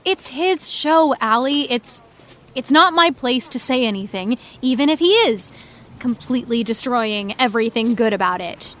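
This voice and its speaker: A woman's voice: fairly high pitch, expressive, normal speed, fairly bright tone. A woman playing a reluctant, nerdy young fan in an audio drama, nervous and defensive, stammering as she hesitates. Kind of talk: naturalistic audio drama dialogue.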